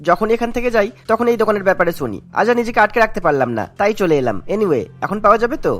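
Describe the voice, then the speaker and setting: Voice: mid-range voice, expressive, very fast, soft background music, natural voice. A performer voicing a charming, food-loving young man in a cartoon, breezy and insistent. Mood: eager.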